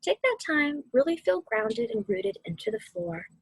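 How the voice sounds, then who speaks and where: A soft, breathy-voiced woman speaking gently and without hurry, as a mindfulness guide reading and instructing for children. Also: very high-pitched, sing-song, no room sound, poor audio. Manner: soothing, unhurried, softly spoken. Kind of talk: gentle guided meditation and read-aloud.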